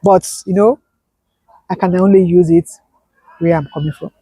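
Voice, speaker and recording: A woman's voice: fairly low pitch, fairly even intonation, normal speed, warm tone, slightly rough, no echo. A woman, a business owner, speaking as an interview guest and emphatically repeating her key points. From Nigeria. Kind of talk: conversational interview.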